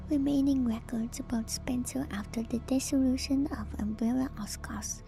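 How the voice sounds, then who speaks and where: A high-voiced woman whispers in a soft, breathy ASMR style, with music clearly playing behind her. She moves between reading aloud and casual, conversational musing, as a streamer would, close to the microphone. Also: poor audio. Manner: slow, musing, conversational asides. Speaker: streamer hosting a lore read-through.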